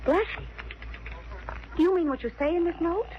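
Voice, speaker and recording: Earnest American sweetheart, muffled sound. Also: female voice, extremely high pitch, lively intonation, normal speed. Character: devoted, helpful female sweetheart of a detective. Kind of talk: 1940s radio drama acting. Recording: muffled old radio recording, faint hiss.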